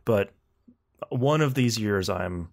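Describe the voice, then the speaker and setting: A man's voice: on the low side, lively intonation, takes it slowly, vocal fry. A man hosting a video game podcast in casual conversation, talking in a rambling, enthusiastic and wry way. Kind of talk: casual podcast chat about video games.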